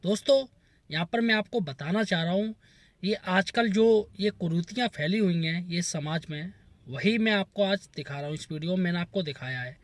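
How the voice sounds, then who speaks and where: A man's voice: high-pitched, normal speed, no echo. A man hosting a channel, earnestly addressing viewers with advice in a moralizing outro style. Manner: earnest, advising. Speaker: channel host.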